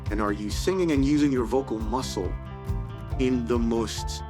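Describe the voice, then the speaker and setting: A man who sings for a living, calmly explaining how he sings and how he cares for his voice in an interview. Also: medium pitch, moderate pace. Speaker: professional singer. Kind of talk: interview talk. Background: music bed.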